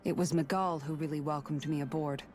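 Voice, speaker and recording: Earnest low-voiced young guardswoman played by an actress. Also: fairly even intonation, fairly quick, darkish tone, a little hiss or hum, lightly acted. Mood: fond, earnest. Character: earnest young guardswoman, idealistic and devoted sister. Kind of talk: scripted video game dialogue. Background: game music.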